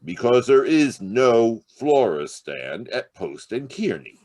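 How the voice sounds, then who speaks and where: A man playing a sardonic, gruff police detective in a 1940s radio noir style, needling whoever he questions. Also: medium pitch, big pitch swings, slow pace, darkish tone, no echo. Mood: exasperated. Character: sardonic, hard-nosed male police detective.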